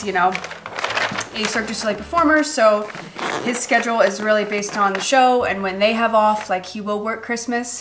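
A woman in her thirties with a stuffy, nasal voice from a cold, chatting casually and relaxed with many ums while she wraps gifts in her kitchen. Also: medium pitch. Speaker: lifestyle vlogger. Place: echoey home kitchen and living room.

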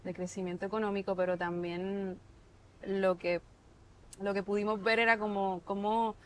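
A woman's voice: mid-range voice, level tone, normal speed, slight background noise. A Puerto Rican woman chef in her thirties, answering interview questions in a thoughtful way, restarting often, recorded with a lapel mic on an outdoor patio. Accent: Puerto Rican Spanish. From Puerto Rico. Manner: thoughtful, many restarts and filler words.

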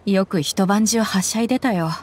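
Game mercenary, young woman, blunt and easygoing, rough casual delivery, actress. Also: medium pitch, normal speed, lightly acted. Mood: tough. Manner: rough, casual, relaxed speech.

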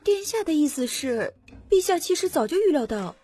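A woman's voice: sits high, sing-song, normal speed, mellow, no room sound. A woman voicing an astonished young noblewoman in an audiobook, full of disbelief. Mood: shocked.

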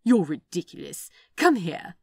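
Prim male angel voiced by a woman: slow, precise, prissy, indignant but fond, very expressive. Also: fairly low pitch, creaky, slightly rough, no room sound, studio quality, exaggerated voice. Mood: exasperated. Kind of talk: fan fiction dialogue reading.

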